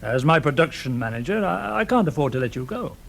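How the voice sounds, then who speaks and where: An actor playing an ailing, weary but firm middle-aged British factory owner in a radio drama, speaking formally with some hesitation. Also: male voice, fairly high pitch, very animated, fairly quick, gravelly, a bit bright, exaggerated voice. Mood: firm, regretful.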